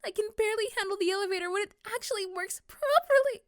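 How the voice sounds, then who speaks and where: Actress as anxious young office crush for ASMR audio drama. Female, sweet, very high voice, dry recording. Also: fast talker, no room sound. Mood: panicked.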